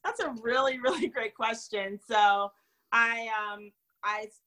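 Interview guest: woman from North Carolina, bright tone, earnest and expressive. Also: on the high side, measured pace, poor audio. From North Carolina, raised in North Florida. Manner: earnest and expressive, laughs easily. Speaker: guest, magazine founder. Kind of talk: interview storytelling.

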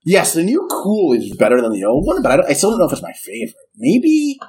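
A man's voice: high-pitched, huge pitch swings, fast talker, a little mellow, husky. A man co-hosting a film podcast, chatting casually and joking, with plenty of "like". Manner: joking, heavy use of "like". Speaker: podcast co-host.

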